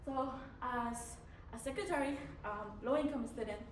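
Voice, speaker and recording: A high-pitched young woman with a Mexican accent, speaking English as a second language. She answers questions haltingly, full of fillers and restarts. The voice comes from across an echoey room with a faint hum behind it. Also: recorded from a distance. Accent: Mexican Spanish. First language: Spanish. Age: young adult. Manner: hesitant, many fillers and restarts. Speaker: student officer candidate. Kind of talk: candidate answering panel questions.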